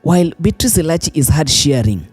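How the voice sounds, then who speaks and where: A man's voice: on the high side, big pitch swings, brisk, darkish tone, husky. A man with a Kenyan accent reading scripted online news commentary as a voice-over. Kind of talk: scripted narration. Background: faint music.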